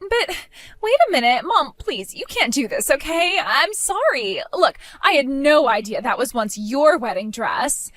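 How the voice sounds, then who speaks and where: A woman voicing a spiteful, bratty young woman in an animated story, with a mocking, whiny, shrill manner. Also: a little slow, no room sound, exaggerated voice. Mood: pleading.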